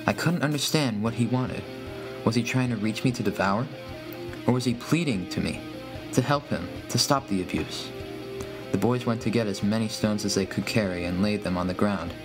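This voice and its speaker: A man narrates a scripted horror tale in the first person. His voice sits on the low side, and music plays clearly behind him. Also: moderate pace. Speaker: story narrator.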